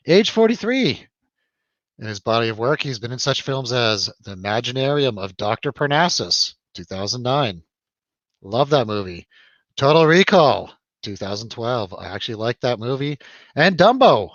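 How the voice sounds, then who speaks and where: A man's voice: mid-range voice, a bit bright, no echo, lo-fi sound. A man hosting a casual live movie review show with jokey, showy announcer-style introductions.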